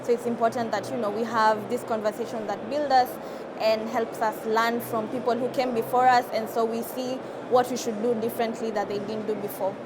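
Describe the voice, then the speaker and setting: A woman's voice: on the high side, flat delivery, a little fast. A woman in her twenties with a Kenyan accent, giving earnest, explanatory answers as an interview guest. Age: 20s. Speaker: climate activist and podcaster guest. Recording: clear vehicle and ambient noise behind.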